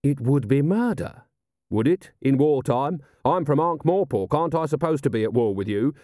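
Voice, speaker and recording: A man voicing a gruff, sardonic watch commander with a blunt, dry manner in a storybook reading. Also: medium pitch, animated, moderate pace, dark tone, no room sound, voice pushed up, natural voice. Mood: menacing. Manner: blunt, dry, snarling when pressed.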